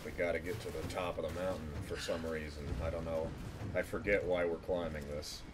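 A man doing casual live game commentary as a streamer, with deadpan joking. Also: deep voice, fairly quick, background noise. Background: game music and sound.

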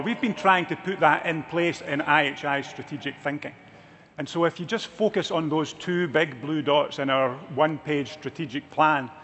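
Middle-aged guy doing a keynote, kinda high voice, echoey big hall. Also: a bit bright, breathy edge. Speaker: keynote speaker, organization executive. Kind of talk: conference keynote address. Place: large conference hall stage. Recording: large hall reverb.

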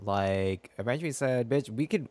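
A man's voice: mid-range voice, expressive, normal speed, a little mellow, no echo. A man in his twenties giving slangy, chatty live-stream commentary on a game, close to the mic indoors.

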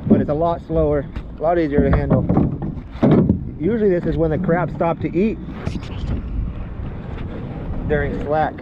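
A man with a fairly high voice talks casually while he works, explaining what the crabs and the current are doing. He is recorded on a head-mounted action camera out on a small boat. The sound is muffled, with loud wind and water over it. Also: steady tone, a little fast. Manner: chatty, explains crabbing as he works. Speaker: fishing channel host. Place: small open boat on a bay, outdoors.

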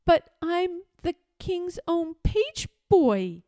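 A woman's voice: extremely high pitch, big pitch swings, drawn-out words, mellow, no room sound, studio quality, pitched above the performer's own voice, heavily acted. A woman voicing a polite, timid young page boy in a children's story, speaking in a hesitant, earnest way. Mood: timid protest. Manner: hesitant, stammering, gasping.

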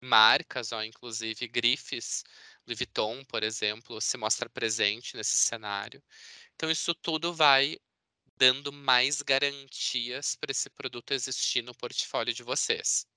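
Male Brazilian presenter, conversational and explanatory, very forward bright tone, clean and smooth. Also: mid-range voice, fairly even intonation, measured pace, no echo. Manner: chatty, explanatory, often seeking confirmation with tag words. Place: indoor room on a video call. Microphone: video call microphone.

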